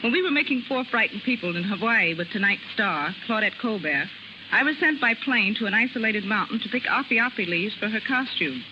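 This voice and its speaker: A woman's voice: mid-range voice, expressive, fairly quick. A woman guest telling scripted anecdotes on 1930s radio in a dry, wry manner. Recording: noisy, muffled 1930s radio broadcast.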